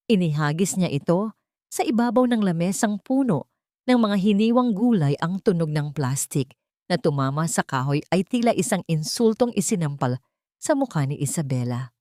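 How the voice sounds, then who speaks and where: A woman with a Filipino accent narrating a scripted dramatic story in a measured, theatrical way. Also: lowish voice, darkish tone, dry recording, studio quality.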